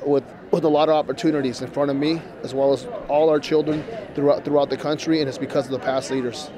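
A man's voice: fairly high pitch, fairly even intonation, quick pace, crowd noise. A young man answering interview questions earnestly, often repeating words, in a convention hall. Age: young adult.

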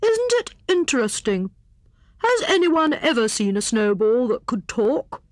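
A woman voicing an excitable, pompous male hare in a children's storybook, with exclamatory, drawn-out, self-important declaiming. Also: a little high, no echo, studio quality, voice pushed up. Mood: pompous wonder. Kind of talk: children's storybook character reading.

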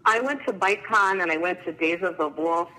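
Nervous, piercingly bright-voiced woman calling in. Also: lowish voice, brisk, slight background noise, low-quality recording. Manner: nervous, gushing, filler-heavy "like". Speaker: fan calling in, emergency room nurse. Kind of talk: casual chat, personal storytelling.

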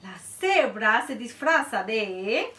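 Slow, lively woman reading a storybook. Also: on the high side, echoey room, far from the mic. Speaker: children's librarian. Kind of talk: reading a picture book aloud to children. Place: small room indoors, before a board.

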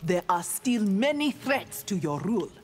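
An actress playing a proud, earnest young armored ninja woman in a video game, speaking in formal, measured, resolute lines. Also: mid-range voice, sing-song, darkish tone, soft background music. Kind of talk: dramatic video game dialogue.

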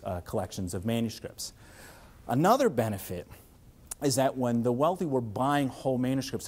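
A man's voice: on the low side, mellow. A middle-aged man with a Philadelphia accent giving an academic seminar talk in a room with an audience, enthusiastic and rambling with many restarts and fillers. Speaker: visiting lecturer.

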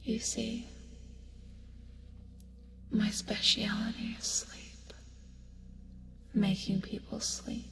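Female sleep demon played by a woman, ASMR roleplay: whispered, creaky, soothing with sly teasing, creepy yet tender. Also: mid-range voice. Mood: sly, ominous. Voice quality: breathy, whispery. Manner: slow, soothing, coaxing with teasing asides. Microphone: close microphone. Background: music.

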